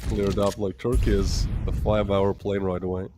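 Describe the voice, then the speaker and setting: Low-voiced excitable esports caster. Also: male voice, brisk, noisy background. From the Baltics. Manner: chatty, laughing, excitable play-by-play. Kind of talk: live esports commentary.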